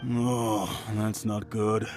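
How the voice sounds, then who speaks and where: A man's voice: lowish voice, little pitch movement, drawn-out words, a little mellow. A man voicing a worried, humble innkeeper in a video game, polite and hesitant in his delivery. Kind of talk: scripted video game voice acting. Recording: studio voice over background music.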